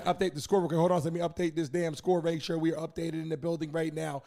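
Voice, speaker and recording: A man's voice: on the high side, little pitch movement, fast talker, creaky. A man hosting a casual live stream, urging viewers on in a pushy, slangy way.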